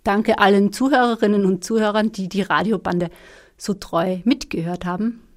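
A woman's voice: mid-range voice, fairly even intonation, moderate pace. Woman presenting live radio. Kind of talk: live radio announcements, informal.

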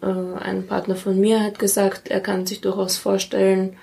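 A woman's voice: mid-range voice, completely flat delivery, moderate pace, creaky, mellow. A woman talking thoughtfully and candidly in a conversational interview. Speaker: interviewee, parent in a polyamorous family.

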